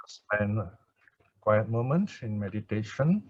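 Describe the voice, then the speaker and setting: A man from Singapore who teaches Buddhist meditation, speaking or chanting in calm, measured, short phrases with pauses. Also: fairly low pitch. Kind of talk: Pali chanting and slow guided breath meditation. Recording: thin phone-like audio, very dry.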